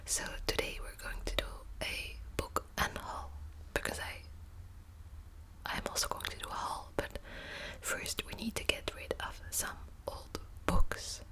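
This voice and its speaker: A woman whispering close to the mic in an ASMR book video, hesitant with many ums and restarts, also reading passages aloud. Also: unhurried. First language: Dutch. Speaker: ASMR creator.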